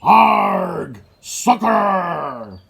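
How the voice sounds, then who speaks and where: Storybook pirate captain, played by a man: gruff, commanding, slow bellowing delivery with big swoops in pitch. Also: very animated, fairly bright tone, heavily acted. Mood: roaring. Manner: bellowing, drawn-out pirate talk.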